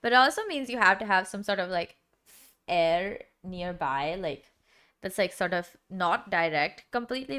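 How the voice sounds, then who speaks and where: A woman's voice: on the low side, a bit bright, smooth. A young woman streamer with a dry, sick-sounding throat, chatting casually and rambling with lots of filler words. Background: background music.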